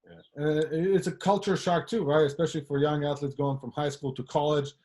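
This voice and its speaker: A man's voice: a little high, level tone, brisk, a little mellow. Man hosting, often tags "right?". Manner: talks in long runs, tags lines with "right?", laughs easily. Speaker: podcast host. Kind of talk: casual interview chat.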